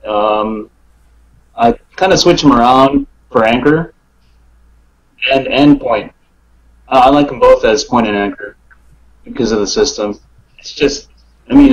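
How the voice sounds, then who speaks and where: A man with an average-pitched voice talks in a loose, conversational way. He sounds like a player sharing his views on a gaming podcast. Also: a little slow, pauses often, highs cut off. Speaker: podcast guest, fighting game player. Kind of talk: casual chat.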